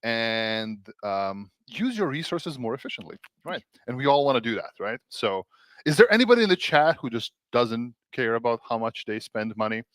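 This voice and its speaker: A man from Serbia hosting a casual tech livestream, animated and laughing often, with many restarts and fillers. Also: mid-range voice, normal speed, no echo. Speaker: host, developer advocate.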